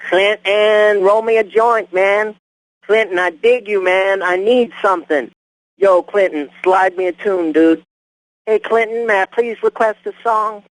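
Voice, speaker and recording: A laid-back male fan calling a game radio show. His voice is high and closely recorded, with loose, slangy phrasing. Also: unhurried, dry recording, rough recording. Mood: friendly. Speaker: radio caller.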